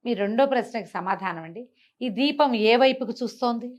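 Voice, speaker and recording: A woman's voice: fairly high pitch, lively intonation, moderate pace, darkish tone, natural voice. A woman voicing a clever young male disciple who gives orders and teaches by asking questions, in a storybook tale. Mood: confident, teaching. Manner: confident, questioning, giving orders.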